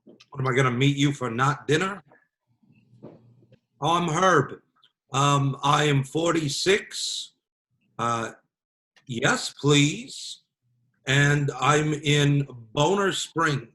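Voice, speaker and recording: A man playing a sleazy 46-year-old chat-room regular in an improv sketch, with slow suggestive remarks. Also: a little high, bright, forceful tone. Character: 46-year-old creepy male chat-room guy Herb.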